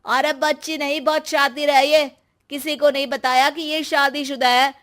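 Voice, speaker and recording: A woman as a catty, high-pitched college girl. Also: little pitch movement, normal speed, a bit bright. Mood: mocking. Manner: taunting, catty, emphatic. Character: mocking, gossipy young female college student.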